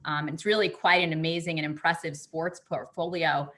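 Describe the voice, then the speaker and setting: Low, bright-toned woman interviewer. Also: fairly even intonation, moderate pace. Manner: polished, complimentary, with fillers. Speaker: interviewer, host. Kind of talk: interview questions.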